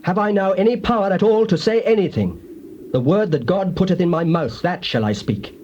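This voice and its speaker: Solemn, highly expressive biblical prophet. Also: male voice, fairly quick. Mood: solemn, resolute. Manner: theatrical, declamatory. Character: Balaam, solemn biblical prophet, quick to anger. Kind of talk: dramatized scripture acting in archaic English. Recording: noisy, dull old cassette tape.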